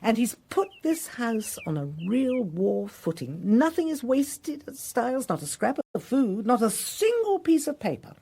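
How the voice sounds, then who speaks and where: A grand older upper-class Englishwoman in a radio play. She speaks with sweeping, lively intonation and a husky edge, imperious and effusive by turns. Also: fairly high pitch, unhurried, hardly any pauses, full character voice. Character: genteel, imperious older lady of an English country house. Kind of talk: radio drama acting.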